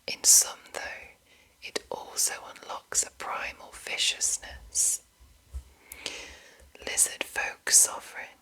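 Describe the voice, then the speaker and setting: A woman whispers in an ASMR style, with no voiced tone at all. Her whisper is very bright and crisp-edged, full of breath. She speaks softly as a host addressing her listeners. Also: takes it slowly, long pauses, low-quality recording. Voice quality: breathy, unvoiced whisper throughout. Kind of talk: whispered ASMR reading of game rulebook and chat to viewers.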